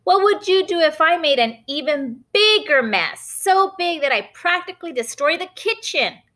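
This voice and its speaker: A woman's voice: very high-pitched, lively intonation, moderate pace, a bit bright, voice pushed up. A woman voicing a naughty, teasing little boy in a read-aloud storybook.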